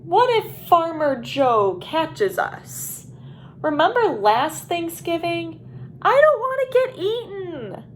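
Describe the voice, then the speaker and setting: A woman voicing a nervous, panicky turkey in a children's storybook, fretful and given to shouting. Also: extremely high pitch, sing-song, takes it slowly, a little hiss or hum, pitched above the performer's own voice, heavily acted. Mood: worried. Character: nervous, panicky turkey afraid of being eaten.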